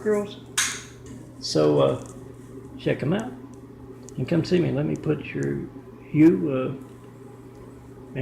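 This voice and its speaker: Man vlogger, rough gravelly voice, rambling monologue to camera; echoey room with faint hum. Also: a little high, darkish tone. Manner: rambling, restarts often, reads out numbers and addresses. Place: indoor apartment with a kitchen.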